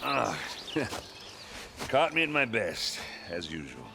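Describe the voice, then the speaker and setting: A man playing a gruff, battle-worn warrior in a video game, loyal and boisterous, with joking bravado and halting delivery. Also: lowish voice, sing-song, background noise, heavily acted. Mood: wry, pained. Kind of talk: dramatic video game cutscene acting. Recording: loud music behind the voice.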